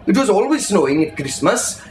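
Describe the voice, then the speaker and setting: Male literary reader: expressive, theatrical, savours phrases; roomy live recording with faint noise behind. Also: high voice, brisk. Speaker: reader, storyteller. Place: live reading in an indoor shop. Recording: echoey room with faint background noise.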